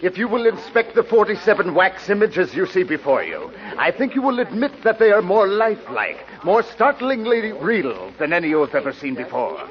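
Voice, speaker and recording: A man's voice: high voice, huge pitch swings, normal speed, few pauses. An actor playing a gleefully macabre wax museum showman with theatrical barker patter, in the style of 1940s radio drama. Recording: muffled old radio recording, crowd murmur behind.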